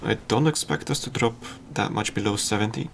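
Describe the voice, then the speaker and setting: A man whose first language is Dutch, casually talking through his game on a livestream in a halting, thinking-aloud way. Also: lowish voice, a little hiss or hum. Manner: halting, self-deprecating, thinks aloud with fillers. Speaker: streamer.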